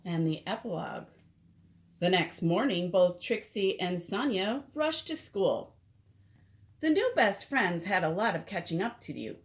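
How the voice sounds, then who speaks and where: A woman reading a picture book aloud to children as an expressive storyteller. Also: lowish voice, unhurried.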